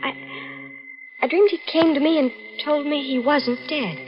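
An actress playing a scheming, composed fiancée, deliberate and theatrical, in an old-time radio suspense drama. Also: female voice, high-pitched, faint music behind, lightly acted. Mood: sweetly probing. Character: scheming fiancée, sweetly coaxing, coolly calculating. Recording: dull, lo-fi old radio recording.

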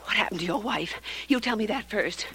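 An actress playing a bold, shrewd secretary in a 1940s radio detective drama. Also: female voice, mid-range voice, expressive, a little fast, pushed and bright, slight background noise. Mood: frightened. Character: bold, shrewd female secretary posing as blackmailer. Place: radio studio.

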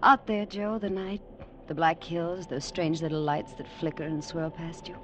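An actress playing an alluring, secretive femme fatale in a vintage radio drama, speaking in a soft, wistful, coy manner. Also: female voice, deep voice, fairly even intonation, normal speed. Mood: dreamy, wistful. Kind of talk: 1940s-50s radio drama acting. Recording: dull old radio, faint hiss.

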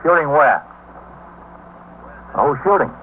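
A man's voice: on the high side, sing-song, slow. A man playing a businesslike police desk sergeant on the telephone, terse and questioning, in a 1950s radio drama. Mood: alert, questioning. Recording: tinny, faintly noisy 1950s radio recording.

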